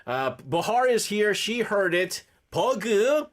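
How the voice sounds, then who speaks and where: Lively, laughing male radio host. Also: slow pace. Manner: animated, laughs often, swings between high and low. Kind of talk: casual radio chat.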